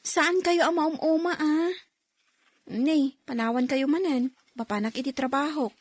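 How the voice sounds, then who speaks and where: Actress as a high-voiced, worried grown child. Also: female voice, unhurried, dry recording. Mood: pleading. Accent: Ilocano. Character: concerned grown child of a quarrelling mother, pleading. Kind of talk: radio drama dialogue.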